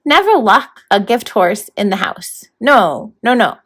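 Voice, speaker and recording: A woman's voice: mid-range voice, sing-song, unhurried, fairly bright tone, slightly rough, heavily acted. A woman voicing a formal, fussy, stern male horned toad mentor in a storybook read-aloud. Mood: flustered, pleased. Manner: proper, grandly worded, matter-of-fact.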